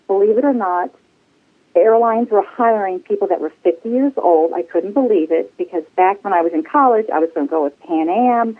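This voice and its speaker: A woman in her sixties chatting casually as an interview guest, effusive and rambling, over a telephone. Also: medium pitch. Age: 60s. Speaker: podcast guest, flight attendant. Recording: tinny phone-line sound.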